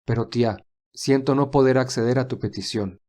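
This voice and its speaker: Man playing a composed, firm young-gentleman nephew. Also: mid-range voice, close to an everyday voice. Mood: calm, firm. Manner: formal, measured, politely firm. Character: composed, firm, courteous wealthy nephew and heir. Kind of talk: audio drama acting.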